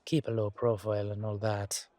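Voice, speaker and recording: A man's voice: fairly low pitch, level tone, moderate pace, warm tone, no echo, natural voice. A man acting a humble, weary knight with a wry, casual, hesitant manner in an amateur fantasy audio roleplay. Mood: hesitant, reluctant.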